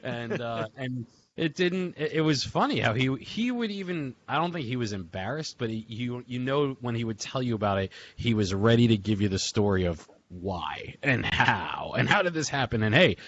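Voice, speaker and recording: A man's voice: medium pitch, animated, moderate pace, a bit bright, dry recording, lo-fi sound. A man hosting a casual conversation among friends, reminiscing in a loose way and often laughing as he talks. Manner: laughs often while talking, profane. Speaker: podcast host. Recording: music playing behind the voice. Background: guitar music.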